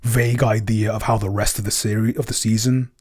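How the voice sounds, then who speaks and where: Low-voiced, slightly husky man thinking aloud. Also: level tone, fairly quick, a little mellow. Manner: hesitant, thinking aloud, rephrasing mid-sentence. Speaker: podcast co-creator answering listener questions. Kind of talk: casual unscripted behind-the-scenes chat.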